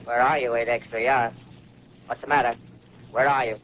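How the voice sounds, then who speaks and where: A man's voice: mid-range voice, flat delivery, normal speed, natural voice. Man acting an anxious muffled radio operator. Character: radio operator anxiously trying to raise another station. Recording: muffled, hissy 1938 radio broadcast.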